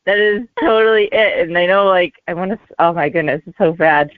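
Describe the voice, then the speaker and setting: A woman in her forties answering casually as a guest author in an interview, with a halting, hesitant delivery. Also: lowish voice, no echo. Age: 40s. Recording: narrow, tinny phone-like line.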